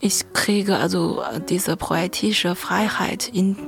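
Young female playwright interviewee, Chinese accent, unhurried, creaky voice, searching phrasing. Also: fairly low pitch, fairly even intonation. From China. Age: young adult. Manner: searching, non-native phrasing. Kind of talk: reflective interview talk.

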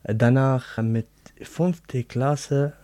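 A man with an Arabic accent, a native Arabic speaker, answering interview questions in halting, word-searching German. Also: mid-range voice, warm tone, no echo. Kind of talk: casual interview.

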